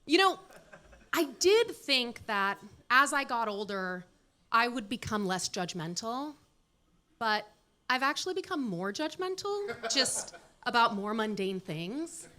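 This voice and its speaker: A woman's voice: fairly high pitch, pushed and bright. A woman in her late thirties performing a stand-up set at a comedy club, delivering dry, self-deprecating punchlines. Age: 30s. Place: comedy club stage with live audience.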